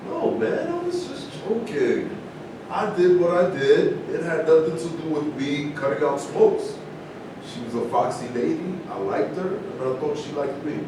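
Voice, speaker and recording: A man's voice: very animated, measured pace. A man acting in a staged reading as a brash, unapologetic ex-boxer in conversational dialogue. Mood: flippant. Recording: very echoey room, distant, rumbling noise.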